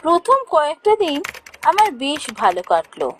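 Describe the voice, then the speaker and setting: Woman playing anxious young female office worker, high voice, very lively intonation, dramatic hesitant cartoon ghost-story narration. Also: moderate pace. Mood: cheerful, reflective.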